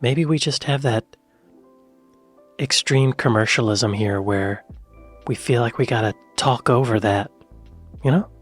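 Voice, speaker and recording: Soft-voiced, husky American man musing. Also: medium pitch, unhurried, pauses often, soft background music. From United States. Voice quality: soft quiet voice. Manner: musing, trails off, repeats himself. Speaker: reaction video host. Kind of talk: casual reaction commentary.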